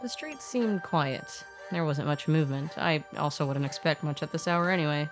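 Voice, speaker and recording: A woman's voice: low-pitched, moderate pace. A woman narrating a scripted fantasy story podcast, with conversational asides. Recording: background music under voice.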